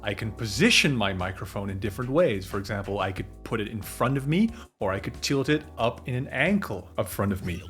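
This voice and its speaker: Young guy with a low full voice, walking through recording tips on a studio mic, faint music under it. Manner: animated, gestures with hands while explaining. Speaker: music producer and vocal coach. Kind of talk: explanatory tutorial to camera. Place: small dim home studio, indoors. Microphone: studio condenser mic on boom arm in front.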